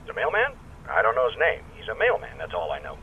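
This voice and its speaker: Indignant tycoon, tinny phone. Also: male voice, mid-range voice, wildly swooping pitch, fast. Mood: indignant, insistent. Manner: emphatic, dismissive. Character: indignant, insistent male restaurant tycoon on the telephone. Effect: telephone filter. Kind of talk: radio detective drama acting. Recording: tinny phone line, faint noise.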